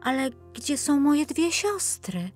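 A woman voicing a kind-hearted, gentle and eager young woodcutter's daughter in a children's fairy tale. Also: high-pitched, very animated, takes it slowly, soft background music, pitched above the performer's own voice, exaggerated voice. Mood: concerned.

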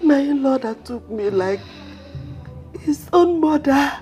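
A woman's voice: on the high side, lively intonation, slow pace, faint music behind. An actress playing a grieving older mother-in-law, sobbing and crying out in mourning. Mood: wailing grief. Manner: wailing, sobbing laments.

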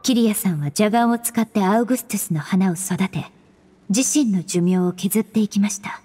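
A woman voicing a composed, polite young female investigator in an anime game, formal and analytical, with a soft breathy voice. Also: mid-range voice, normal speed, close to an everyday voice. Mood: calm, analytical.

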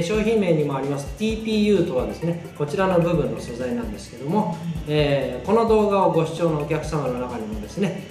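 A man's voice: on the high side, a little mellow. A man in his forties explaining a product politely and conversationally, with careful, hesitant phrasing. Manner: polite, hesitant with frequent pauses and courteous fillers. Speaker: company representative presenting a product. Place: small bare meeting room, indoors. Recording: echoey room with background music. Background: faint music.

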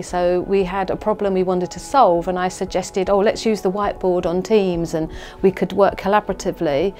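Middle-aged female interviewee, British accent, mid-range voice, conversational storytelling over soft music. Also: mid-range voice. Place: indoor lounge on a sofa.

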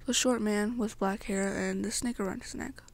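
A young woman narrating an ASMR story to the listener in a halting way, pausing between short phrases. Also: mid-range voice, fairly even intonation. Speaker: amateur roleplay narrator.

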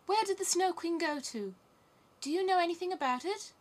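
Woman as a storybook little girl, high voice, tender, timid, anxious, pleading. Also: normal speed. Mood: anxious, eager. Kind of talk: storybook character voice.

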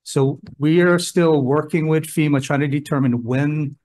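A man's voice: fairly high pitch, measured pace, a little mellow. A man speaking as a state emergency official at a legislative hearing, explaining plans in a plain, conversational way. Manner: plain-spoken, conversational, gives examples. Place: hearing room.

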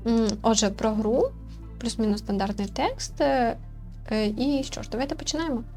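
A woman's voice: fairly high pitch, moderate pace, mellow, soft background music. Woman casually commenting on a game. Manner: musing aloud, questioning. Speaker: gaming video host and commentator.

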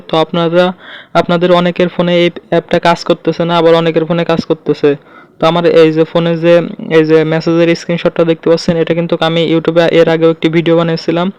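A man's voice: on the high side, darkish tone, clean tone, dry recording. A man hosts an informal tutorial, narrating step by step and pointing things out as he goes with repeated filler phrases. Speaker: tutorial video host. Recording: slightly dull, lo-fi close recording.

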